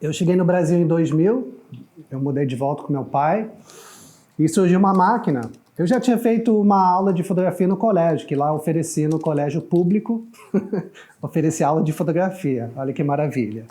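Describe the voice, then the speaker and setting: Middle-aged male guest from Canada, native English speaker, warm relaxed timbre, fairly high pitch, conversational. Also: brisk. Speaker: photographer guest. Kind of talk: casual interview chat.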